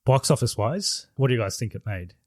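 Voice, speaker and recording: A man hosting a casual podcast, leading the talk in a relaxed, steady way on a studio mic. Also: medium pitch, fairly quick, no room sound. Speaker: podcast host.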